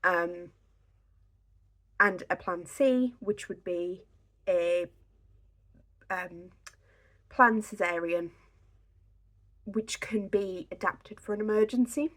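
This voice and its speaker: Slightly croaky woman, chatty. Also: medium pitch, slow, pauses often, fairly bright tone. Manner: chatty, filler-heavy, reassuring. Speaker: pregnancy vlogger. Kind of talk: casual instructional talk to camera. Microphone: camera on a tripod.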